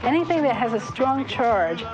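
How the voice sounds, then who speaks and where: A woman giving reflective, instructive talk in measured phrases. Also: a little high, big pitch swings, highs cut off. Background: music.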